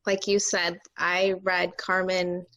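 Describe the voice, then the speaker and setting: A woman's voice: lowish voice, flat delivery, takes it slowly, fairly bright tone. A woman who works as an actor, chatting casually on a remote call. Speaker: actor on a virtual table read. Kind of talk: casual chat.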